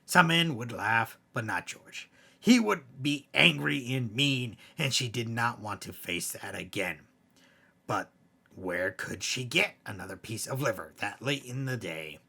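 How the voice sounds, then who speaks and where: A man narrating scary bedtime stories as a host, in a theatrical way with emphatic swells and hushed drops. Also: mid-range voice, animated, a little slow, creaky, husky. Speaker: storyteller, host.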